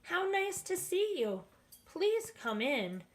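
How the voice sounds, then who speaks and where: A woman acts out a hospitable old grandmother from a children's storybook. She fusses and scolds gently with great fondness. Her delivery is unhurried and lilting. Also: very high-pitched, sing-song, voice pushed up, full character voice. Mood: welcoming, puzzled. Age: older. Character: kindly, hospitable old grandmother, chuckling and fussing. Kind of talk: children's storybook read-aloud.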